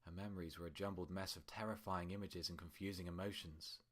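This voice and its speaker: Deep-voiced man narrating a story. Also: fairly even intonation, quick pace.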